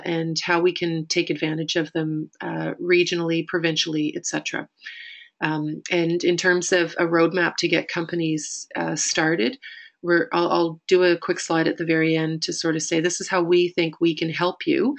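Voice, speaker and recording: A woman executive director with a raspy voice and a Canadian accent, presenting a webinar in a steady, informal way with many pauses to think. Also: sits low.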